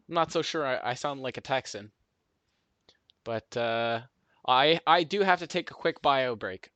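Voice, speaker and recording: A man's voice: mid-range voice, fairly bright tone, no room sound. A man hosting a live coding stream, chatting casually and answering viewer comments in a friendly, rambling way. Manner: chatty, friendly, with fillers and self-repeats.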